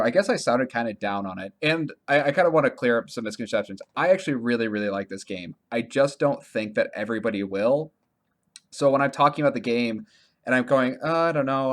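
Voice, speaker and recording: A man co-hosting a podcast, talking casually about video games in a halting way, often restarting his sentences and leaning on filler words. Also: medium pitch, lively intonation. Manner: halting, self-correcting, heavy use of "like". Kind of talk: casual conversational game review chat.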